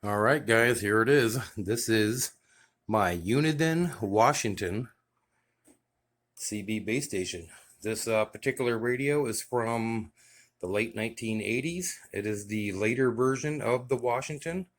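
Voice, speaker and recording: A man casually showing off his radio gear in a relaxed, enthusiastic way, with many fillers. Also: medium pitch, a little slow. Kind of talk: casual show-and-tell vlog.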